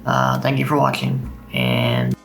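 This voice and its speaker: A man hosts a poetry reading video in a mid-pitched voice. There is a noticeable creak and fry to his speech. Faint music plays behind him. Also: very animated, unhurried. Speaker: video host reading poems.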